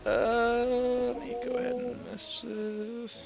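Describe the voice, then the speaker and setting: A man's voice: very high voice, level tone, measured pace, vocal fry, lo-fi sound, over music. A man running a tabletop roleplaying game as game master, informal and sweary in how he talks.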